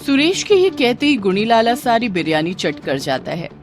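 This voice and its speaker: A woman's voice: a little high, very animated, moderate pace, soft background music. A woman narrating a scripted moral tale as a storyteller.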